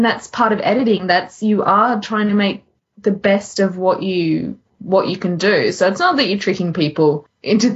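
A woman's voice: mid-range voice, muffled recording. A woman co-hosting a podcast in casual chat, giggly as she talks. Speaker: podcast co-host.

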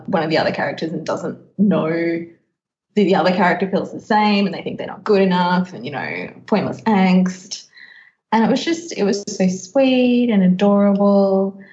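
A woman hosting a podcast in casual chat, with a giggly way of talking that often breaks into laughter. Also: medium pitch. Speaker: podcast host. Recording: slightly dull, echoey room.

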